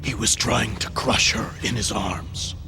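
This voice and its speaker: An actor playing a grave, sceptical guardian hero in a cartoon adventure, speaking solemnly. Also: male voice, fairly low pitch, fairly even intonation, unhurried, music in the background. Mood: grave, doubtful.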